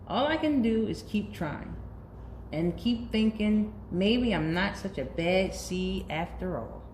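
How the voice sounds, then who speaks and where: A woman's voice: medium pitch, animated, slow pace, darkish tone. A woman voicing a moody but earnest bad seed in a children's storybook, telling his story confessionally and dramatically. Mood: earnest, hopeful. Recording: roomy echo, faint background noise.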